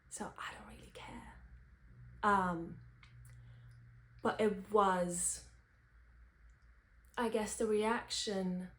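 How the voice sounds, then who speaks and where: A young woman talks candidly about herself, unscripted. Her voice sits slightly low and she moves very slowly, in thoughtful, halting phrases. The recording has a clearly echoey, roomy sound. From Romania. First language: Romanian. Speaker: vlogger, singer-songwriter. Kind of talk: unscripted personal reflection.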